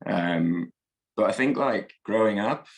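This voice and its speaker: Man, 30s, deep fry-heavy voice, slightly hoarse, reflective group chat. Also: animated, slow. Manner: reflective, many fillers like "um" and "like". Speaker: discussion participant. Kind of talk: casual group discussion.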